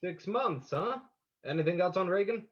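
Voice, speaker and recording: Guy acting as old radio detective hero, highish voice, brisk n in charge, dull lofi audio. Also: expressive. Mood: brisk, alert. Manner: brisk, authoritative. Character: decisive male detective hero, calm and commanding.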